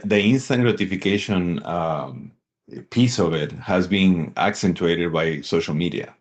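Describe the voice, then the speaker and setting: A middle-aged man from Peru with a Peruvian accent, a guest giving earnest, reflective advice in a casual interview. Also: low-pitched. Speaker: sales executive guest.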